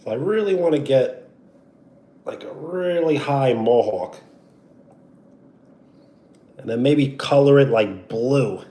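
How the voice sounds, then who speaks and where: A young man with a Brooklyn accent, live streaming and chatting casually with his viewers. He greets them with a loud, drawn-out "yo" and talks like a sports radio personality. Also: medium pitch, lively intonation. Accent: Brooklyn, New York. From New York.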